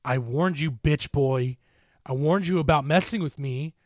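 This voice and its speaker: A slow, mocking monster that threatens with relish, as a man reads it. It is loud and deliberate, each word pressed down hard. The sound is dry and close to the mic. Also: fairly high pitch, no echo, pitched above the performer's own voice, close to an everyday voice. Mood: menacing. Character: menacing eternal monster, red-eyed, taunting.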